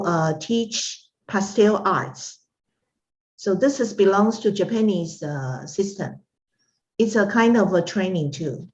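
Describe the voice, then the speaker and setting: A middle-aged woman from Taiwan, a native Mandarin speaker, talks slowly and haltingly, with lots of fillers. Her voice sits a little low and comes through a muffled, lo-fi video call line. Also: a little mellow. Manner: hesitant, many pauses and fillers. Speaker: interview guest, art instructor. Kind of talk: casual interview conversation.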